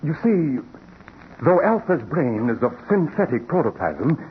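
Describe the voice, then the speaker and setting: A man playing an earnest, secretive laboratory assistant in 1940s radio suspense style, speaking in a deliberate, formal way. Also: fairly high pitch, big pitch swings. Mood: measured, explaining. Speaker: actor in a radio drama. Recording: muffled, noisy 1940s radio recording.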